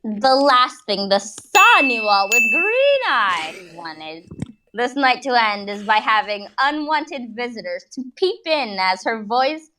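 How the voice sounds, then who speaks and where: A woman reads aloud in a high-set voice with wide, animated swings of pitch. She takes her time over the prose, hamming it up theatrically and dropping in joking asides. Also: slow, a little hiss or hum. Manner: theatrical, jokey, breaks off to comment. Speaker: reader of fan fiction. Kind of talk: comic dramatic reading with asides.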